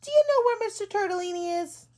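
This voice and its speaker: A woman's voice: very high voice, animated, fast talker, warm tone, voice pushed up. A woman voicing a kind, eager young frog in a children's storybook, speaking earnestly and politely.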